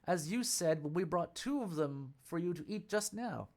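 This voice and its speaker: An anxious young attendant tallies what is missing and grows alarmed, as a man acts him out. His voice sits higher than a plain reading voice. He sounds exacting and quick to raise the alarm in a storybook tale. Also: expressive, a little mellow. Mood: scornful. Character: anxious young male temple attendant who keeps accounts.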